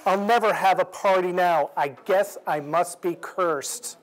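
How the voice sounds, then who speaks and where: A man's voice: high-pitched, unhurried, poor audio. A man voicing a childlike, emotional male monster in a storybook, speaking emphatically. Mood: gloomy. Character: childlike male birthday monster, excitable, easily sulky. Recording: clear background noise in room.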